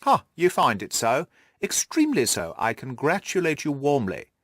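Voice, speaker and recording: A man voicing an amused, self-assured gentleman detective in an audiobook, with a teasing, theatrical drawl. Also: medium pitch, wildly swooping pitch, moderate pace, no echo, pitched above the performer's own voice. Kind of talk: audiobook character acting.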